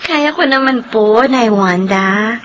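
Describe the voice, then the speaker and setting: A very high, girlish Filipino voice for a radio drama's youngest daughter. She is affectionate, playful and respectful. Faint noise sits behind the voice. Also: lively intonation, takes it slowly, fairly bright tone. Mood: gentle, curious.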